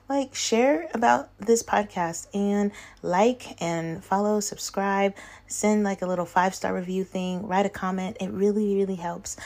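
A woman's voice: mid-range voice, darkish tone. A woman podcast host chatting casually in an informal, enthusiastic way. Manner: informal, enthusiastic, frequent y'all.

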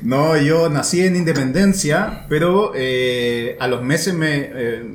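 A man's voice: fairly high pitch, slow. A Chilean man hosting a casual podcast, chatting in loose slangy banter with laughs. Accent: Chilean Spanish. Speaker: podcast host. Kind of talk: casual chat. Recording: echoey room with faint noise.

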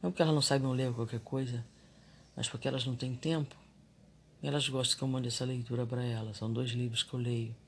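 A man's voice: mid-range voice, quick pace, a little hiss or hum. A man giving a reflective, confessional monologue on spirituality and daily life. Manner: reflective and confessional, animated when irritated.

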